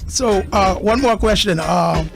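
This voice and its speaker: A man's voice: sits high, measured pace, vocal fry. A man hosting a casual radio interview, chiming in with short echoing agreements. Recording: music playing under the voice. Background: music.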